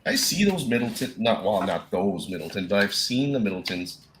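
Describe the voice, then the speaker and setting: A man with a Minnesota accent hosting a casual live whiskey chat, rambling and laughing, clearing a frog from his throat. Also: on the low side, big pitch swings, moderate pace, background noise. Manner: laid-back, rambling, laughs often. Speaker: whiskey show host.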